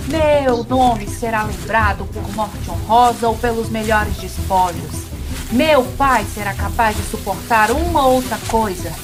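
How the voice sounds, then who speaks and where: A woman's voice: fairly high pitch, a little slow, close to an everyday voice. A woman playing a brave young warrior prince in an audio drama, speaking in a heroic, declamatory way. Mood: solemn defiance. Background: music.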